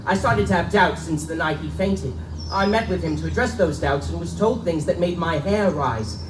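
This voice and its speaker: A man playing an anxious, upright young gentleman who speaks in urgent, guarded, formal warnings at a live dramatic reading in an echoing room. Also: on the high side, moderate pace, natural voice. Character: Lee, anxious upright young clergyman's son warning a friend. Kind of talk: live dramatic reading of gothic pulp tale. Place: live stage reading in a bookstore. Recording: echoey live recording, distant mic, faint noise.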